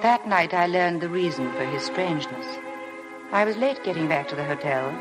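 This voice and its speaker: A woman's voice: lowish voice, lively intonation, moderate pace, close to an everyday voice, rough recording. Woman playing shy young companion, music behind. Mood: wistful. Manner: hesitant, apologetic. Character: shy, awkward, self-effacing young paid companion. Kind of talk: 1950s radio drama acting. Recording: old radio broadcast with music.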